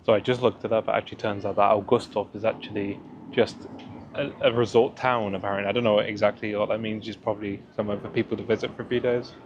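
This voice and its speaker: A young man with a deep voice, chatting casually in a rambling way as he vlogs a walk outdoors through a town. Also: quick pace, muffled recording, noisy background. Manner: relaxed, rambling, many fillers. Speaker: travel vlogger. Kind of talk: casual walking vlog. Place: outdoors in a town square and park.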